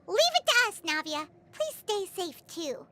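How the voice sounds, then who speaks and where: Super squeaky little floating sidekick, excitable, bouncy voice, loud noise behind. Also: female voice, measured pace, heavily acted. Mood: earnest. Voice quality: high and squeaky. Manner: excitable, outspoken. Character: tiny floating girlish companion, excitable. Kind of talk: video game voice acting.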